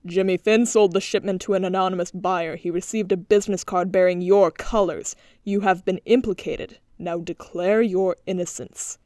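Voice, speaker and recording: A woman voicing a stern male robot detective in a clipped, formal, flat way. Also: lowish voice, normal speed, hardly any pauses, dry recording, studio quality, natural voice. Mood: demanding.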